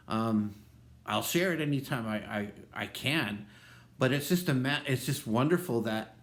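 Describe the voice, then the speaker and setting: Lively Minnesota-tinged pastor, relaxed. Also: male voice, mid-range voice. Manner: relaxed, conversational, many ums and restarts. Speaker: associate pastor. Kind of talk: devotional talk to camera.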